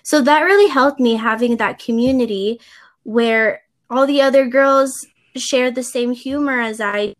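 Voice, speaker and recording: A woman's voice: on the high side, a little slow. A woman from the Philippines in casual conversation, agreeing often and rambling as she reflects on fitting in. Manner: agreeable, frequent backchannels, rambling. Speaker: conversation partner.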